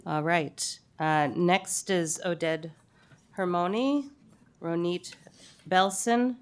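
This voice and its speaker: A woman chairing a public meeting who speaks slowly and deliberately. Her voice is smooth and clear, pitched a little low, and she stumbles apologetically over names. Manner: halting, apologetic over hard-to-read names. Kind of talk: meeting procedure.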